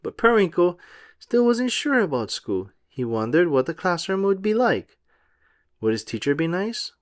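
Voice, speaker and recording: Children's read-aloud narrator: expressive storyteller, very lively intonation. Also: mid-range voice, moderate pace. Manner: expressive, sing-song storytelling. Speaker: storybook reader for young children. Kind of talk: animated read-aloud of a children's picture book.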